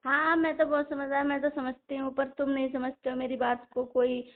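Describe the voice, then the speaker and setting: A woman's voice: high-pitched, little pitch movement, brisk, gravelly. A woman arguing on a phone call, complaining and sulky toward her partner. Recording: tinny phone line.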